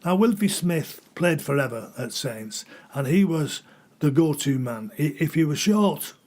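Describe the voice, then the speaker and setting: A man's voice: fairly high pitch, lively intonation, unhurried, a little mellow. An older man born in Scotland, telling rambling stories as an interview guest and often repeating words while he hunts for names. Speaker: guest, former rugby player. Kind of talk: conversational interview storytelling.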